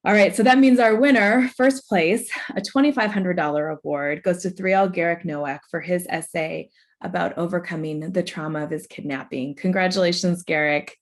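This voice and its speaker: A middle-aged American woman speaks in a slightly low voice. She presents awards in an informal, conversational way, chatting as she moves through the announcements. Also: moderate pace. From Oregon, United States. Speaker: ceremony presenter, law faculty.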